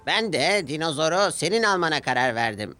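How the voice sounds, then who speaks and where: A man voicing a firm but kindly railway controller with a measured, authoritative manner in a children's cartoon. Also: on the high side, sing-song, music in the background, voice pushed up. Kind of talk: children's cartoon character dialogue.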